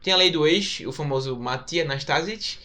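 A man's voice: fairly high pitch, moderate pace, bright, forceful tone. A man with a Brazilian accent giving casual football commentary, often repeating and correcting himself. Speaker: football podcast panelist.

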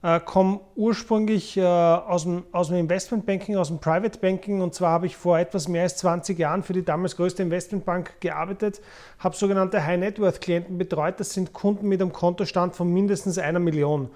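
A man's voice: high-pitched, fairly even intonation, fairly quick, a little mellow. Viennese man in his forties, explaining. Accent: Viennese Austrian. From Vienna, Austria. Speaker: podcast host, former investment banker. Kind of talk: unscripted podcast talk about money.

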